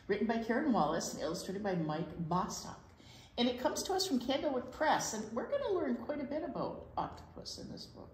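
A woman in her sixties presenting a children's storytime, talking and reading aloud expressively, with emphatic repeats of key words. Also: medium pitch. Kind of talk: casual children's storytime talk and read-aloud. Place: library children's room. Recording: slightly echoey room, faint noise.

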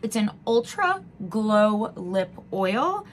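A woman in her thirties from Michigan, slightly hoarse, chatting casually through a beauty box unboxing at home. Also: mid-range voice, big pitch swings, slow pace, a little hiss or hum. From Michigan, USA. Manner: chatty, frequent ums and uhs. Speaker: beauty vlogger. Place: home room with wooden wall backdrop.

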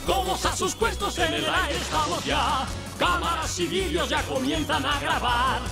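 A woman singing a cartoon theme song over loud jingle music. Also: high voice, a little slow, creaky.

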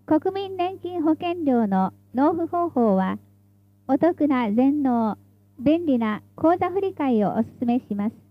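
A woman's voice: high-pitched, very animated, slow pace, dry recording, dull audio. A woman reading scripted tram announcements in a formal, polite, carefully enunciated way, as the stop announcer.

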